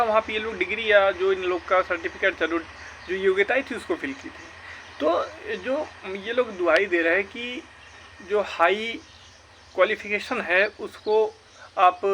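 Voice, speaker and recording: A man's voice: high-pitched, pushed and bright, slight background noise. A young man from gives informal explanatory commentary, stressing and repeating his points emphatically. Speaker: exam and recruitment news YouTuber.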